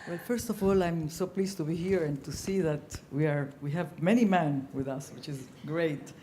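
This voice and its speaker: Older woman, mellow voice in an echoey hall. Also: low voice, normal speed, slight background noise. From Ecuador. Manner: earnest, reflective, frequent ums, growing animated. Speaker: panelist, former ambassador. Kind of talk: panel discussion storytelling. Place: conference hall.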